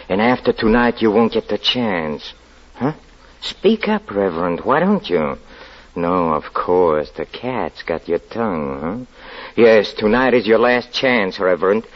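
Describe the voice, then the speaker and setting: An actor playing a murderous convict who poses as a pastor, halting and theatrical, in old radio drama style. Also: male voice, fairly low pitch, breathy edge. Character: murderous escaped convict posing as a small-town pastor, bitter, taunting. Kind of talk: 1940s radio drama acting. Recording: dull, lo-fi 1940s radio recording.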